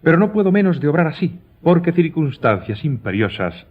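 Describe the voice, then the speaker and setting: An actor playing an evasive, calculating baron and banker in a radio drama, formal and guarded. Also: male voice, fairly high pitch, big pitch swings, a little fast, slightly rough. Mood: guarded evasiveness. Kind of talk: Spanish radio drama acting. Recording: dull lo-fi audio.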